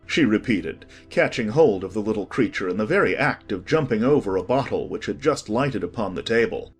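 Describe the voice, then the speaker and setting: A man narrating a scripted storybook in an expressive, deliberate way. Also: lowish voice, husky. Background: music.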